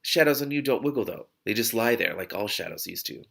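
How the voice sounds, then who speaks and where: A man's voice: lowish voice, lively intonation, brisk, vocal fry, a bit bright, exaggerated voice. A man voicing a wry, bitter young woman in fantasy storybook dialogue, blunt and informal with some hesitation. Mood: uneasy, confiding. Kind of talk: audiobook-style character dialogue.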